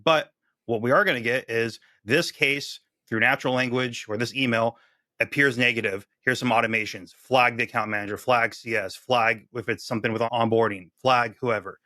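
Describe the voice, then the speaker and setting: A man's voice: mid-range voice, steady tone, normal speed, bright, forceful tone, dry recording. A man guesting on a podcast, a team leader explaining his approach in a direct, practical way. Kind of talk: casual discussion.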